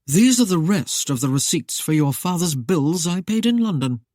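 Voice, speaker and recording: A woman's voice: sits low, lively intonation, normal speed, mellow, no room sound, clean studio sound. A woman voicing a self-assured nobleman who speaks in a formal, leisurely drawl. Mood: composed.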